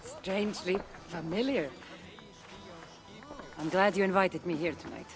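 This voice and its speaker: Unhurried warrior woman, played by an actress. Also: fairly low pitch, over music, lightly acted. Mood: sincere. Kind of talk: video game cutscene acting, partly rhymed toast.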